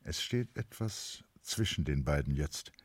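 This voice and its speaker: A male narrator with an extremely deep, very husky voice. He reads scripted stage-like descriptions for a radio drama in a measured, literary way. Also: animated, no room sound.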